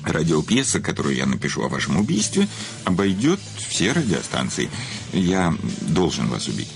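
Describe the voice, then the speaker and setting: An actor in a radio play as a menacing, self-satisfied famous writer, speaking in a mocking, theatrical way with hesitant hums and pauses. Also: male voice, a bass voice, huge pitch swings, creaky, faint music behind, exaggerated voice. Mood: mocking, cynical. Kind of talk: theatrical radio drama acting.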